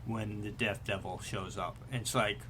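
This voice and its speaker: Male podcast co-host, low pitch, bright edgy tone, relaxed banter, faint noise. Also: normal speed. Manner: laid-back, swears casually. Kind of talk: casual chat about manga.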